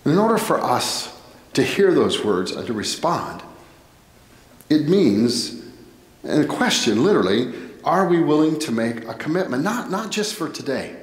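American pastor preaching in an echoey church. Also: male voice, on the high side, sing-song. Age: middle-aged. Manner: deliberate, short phrases with pauses. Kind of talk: sermon. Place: indoor church, at a pulpit. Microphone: headset microphone. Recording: echoey church with long reverb.

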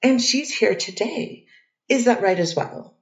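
A woman's voice: a little high, lively intonation, measured pace. Woman playing a defense lawyer, methodical questioning, distant roomy sound, dull muffled recording. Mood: steady. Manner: methodical cross-examining questions. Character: poised woman defense lawyer cross-examining. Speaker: defense attorney. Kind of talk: readers theater courtroom reading.

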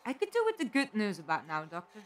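A woman's voice: mid-range voice, huge pitch swings, quick pace, a little hiss or hum. A woman playing an inquisitive, practical companion in an audio drama, direct and questioning. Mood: curious, probing.

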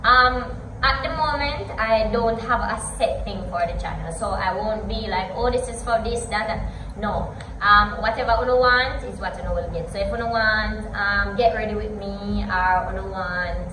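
A woman's voice: medium pitch, unhurried, recorded from a distance, over music. Young Jamaican woman in an echoey room. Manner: chatty, switches between English and Patois, animated hand gestures. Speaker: vlogger. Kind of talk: casual Q&A vlog. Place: home gym room, indoors. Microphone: camera a few feet away. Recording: echoey room, voice off-mic, background hiss.

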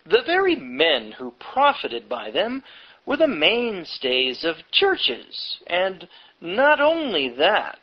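Slow, emphatic man with swooping pitch. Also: wildly swooping pitch, dull audio. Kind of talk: reading a history book aloud with preachy asides.

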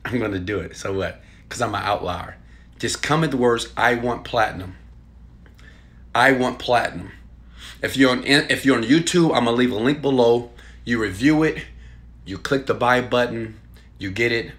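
A man in his thirties, filmed on a phone held at arm's length in a small room at home, giving a hyped and preachy sales pitch with loud bursts and quieter asides. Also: normal speed, long pauses. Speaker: online marketing coach. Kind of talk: selfie video sales pitch.

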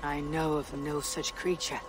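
A woman's voice: low voice, flat delivery, a little slow, slight background noise, rough recording. A woman playing a stoic, hardened Viking warrior in a video game, terse, stern and guarded. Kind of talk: dramatic video game acting.

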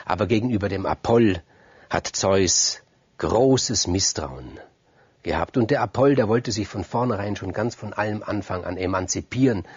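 Low, husky male storyteller. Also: moderate pace, darkish tone, no echo, muffled recording. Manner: conversational, emphatic repetition, addresses listeners directly. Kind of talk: free oral retelling of Greek myths.

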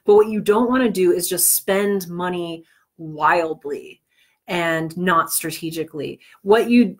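A woman hosting an informal livestream tutorial, chatty and given to self-deprecating asides while a bit under the weather. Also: on the low side. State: under the weather with cold or flu, on medication. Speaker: livestream host teaching podcast business planning.

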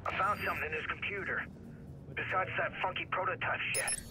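Man playing a cocky, folksy hacker, higher-pitched voice. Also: fairly even intonation, a little fast, noisy background, lightly acted. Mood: conspiratorial. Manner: folksy, slangy, wisecracking. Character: cocky, crude, easygoing middle-aged male renegade hacker. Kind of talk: video game dialogue. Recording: loud music over game dialogue.

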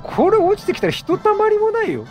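Man, high voice, hugely animated, chatty reactive game commentary. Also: fast, soft background music. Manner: chatty, reacts aloud and banters with the game characters. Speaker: gaming streamer, let's play host.

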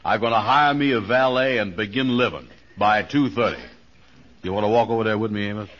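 Casting: male actor as puffed-up, naive comic braggart, lowish pitch, folksy 1940s radio style, muffled old recording. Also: fairly quick, fairly bright tone, lightly acted. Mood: boastful. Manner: folksy, ungrammatical, puffed-up delivery. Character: boastful, naive, self-important grown man. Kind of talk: 1940s radio comedy acting. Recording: dull vintage radio recording.

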